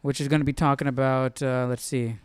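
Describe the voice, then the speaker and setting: A man hosting a Bible study stream in casual, slangy chat, halting as he thinks aloud. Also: mid-range voice, little pitch movement, clean tone, no room sound. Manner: informal, slangy, halting as he works things out.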